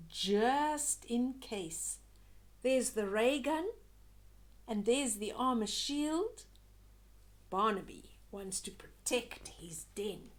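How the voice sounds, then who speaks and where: An older woman reading a children's picture book aloud expressively, pausing to chat to young listeners about the pictures. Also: fairly high pitch.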